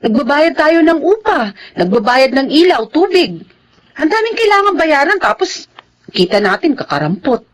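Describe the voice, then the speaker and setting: High-voiced, plaintive Filipino wife. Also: female voice, very animated, normal speed, a little hiss or hum. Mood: weary complaint. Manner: exasperated, plaintive. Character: worried, complaining wife and mother, a poor laundrywoman. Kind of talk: Filipino radio drama acting.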